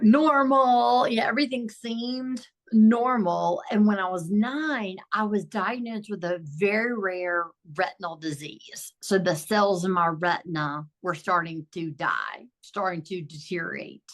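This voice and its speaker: Woman guest on a zoom podcast, warm and reflective, telling her own story. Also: mid-range voice. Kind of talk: personal storytelling in interview. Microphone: video call recording.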